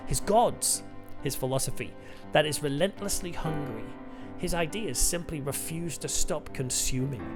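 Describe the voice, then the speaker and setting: Higher-pitched British man reviewing over music. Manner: enthusiastic, informal, emphatic. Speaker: host and film reviewer. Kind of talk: casual video review to viewers.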